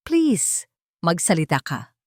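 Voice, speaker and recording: A woman's voice: on the low side, very animated, takes it slowly, no room sound, studio quality, heavily acted. Woman voicing an urgent, pleading man. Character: earnest, pleading man confronting his lost love.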